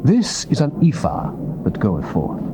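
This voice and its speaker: A man's voice: mid-range voice, huge pitch swings, a little slow. A noisy old tape carries a British man playing a commanding angel of the Lord. The voice is grave and oracular, with wide, declamatory swings in a dramatized Bible reading. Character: solemn commanding angel messenger of God.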